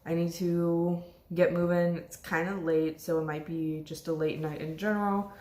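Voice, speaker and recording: A young woman, a law student vlogging casually, chatty and upbeat with lots of fillers, her voice slightly hoarse. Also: fairly low pitch, level tone, normal speed, warm tone, roomy sound. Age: 20s. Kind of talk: casual vlog chat. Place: home kitchen.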